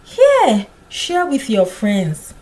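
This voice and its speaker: A woman storyteller voicing a kind, gentle young market woman who coaxes and encourages in a folktale. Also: a little high, huge pitch swings, extremely slow, full character voice, poor audio. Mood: kindly, encouraging. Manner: warm greetings, coaxing encouragement.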